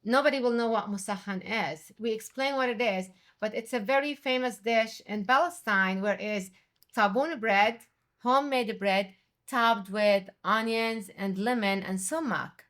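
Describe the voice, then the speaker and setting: A middle-aged woman from Jordan, a restaurant owner and chef, speaking English as an in an animated, conversational interview. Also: fairly high pitch, unhurried. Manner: animated, quotes others with raised voice.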